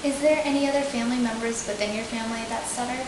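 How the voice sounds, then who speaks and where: A young woman acting as a student clinician, asking intake questions in a measured way with frequent "okay"s. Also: on the high side. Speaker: student clinician interviewing a client. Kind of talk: scripted clinical interview. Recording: echoey room with steady hiss.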